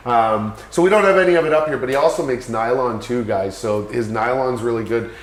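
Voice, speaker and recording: A man's voice: mid-range voice, a little fast, reverberant. A man hosting a casual product chat, easygoing and agreeable, recorded in a 3D printing shop. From Canada. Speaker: host.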